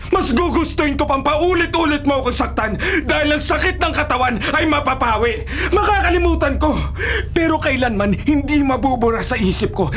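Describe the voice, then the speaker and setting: Bitter, wronged Filipino husband for radio drama; extremely high voice, emotional declamation. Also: male voice, fairly bright tone, a little hiss or hum. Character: anguished, accusing, long-suffering husband.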